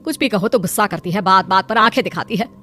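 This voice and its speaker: A woman's voice: mid-range voice, quick pace, pushed and bright, soft background music. A woman voicing a nagging middle-aged mother of grown children in a moral-story cartoon. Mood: scolding. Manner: scolding, exasperated.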